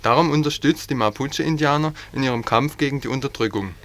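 A man's voice: medium pitch, fast, fairly bright tone. Earnest man reading radio news. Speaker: news reader. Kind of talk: read news bulletin. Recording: 1980s community radio broadcast.

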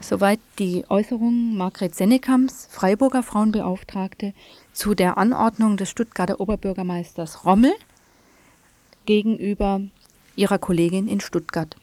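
In a dry, close studio sound, a woman reads scripted news. Her pitch is medium and her delivery is that of a radio presenter. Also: steady tone, normal speed, a little mellow, no echo.

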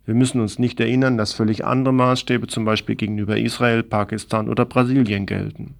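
Guy with a creaky voice reading radio news commentary, kinda pointed and opinionated. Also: medium pitch, little pitch movement, brisk. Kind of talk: scripted political news commentary.

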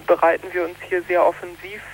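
A woman from northern Germany, a protest organizer, speaking earnestly in a radio news interview and often pausing on fillers and restarting. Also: fairly low pitch, level tone, a little hiss or hum, low-quality recording.

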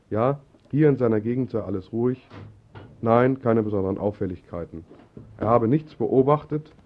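A man reading a scripted satirical story on the radio. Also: medium pitch. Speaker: radio narrator. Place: radio broadcast recording. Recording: dull lo-fi 1980s radio recording.

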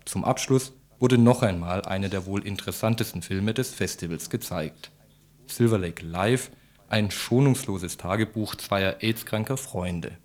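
A man's voice: lowish voice, a little slow, a little mellow. A man reading scripted radio news reports as a morning show presenter.

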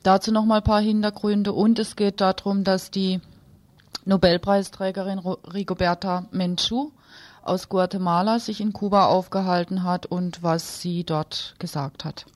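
A woman's voice: medium pitch, level tone, moderate pace, dry recording. A woman presenting and reading the news on a radio programme.

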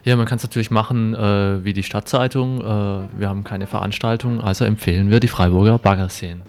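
A man with a moderately low voice presents local radio news. His delivery is informal and halting, put together on the spot more than read out. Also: steady tone, music in the background.

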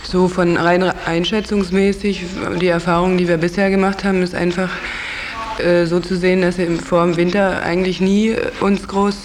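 A man's voice: high-pitched, little pitch movement, moderate pace. A man being interviewed, explaining in long, winding, unscripted sentences. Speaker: interviewee, house project resident. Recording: clearly noisy radio interview recording.

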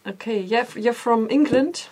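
A woman's voice: on the high side, normal speed, darkish tone. Hesitant woman hosting a studio interview. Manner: hesitant, apologetic, careful English. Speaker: radio show host. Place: radio studio.

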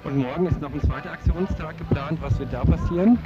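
A man's voice: fairly high pitch, quick pace. A man asking interview questions as a radio reporter out in the field. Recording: dull lo-fi outdoor field recording.

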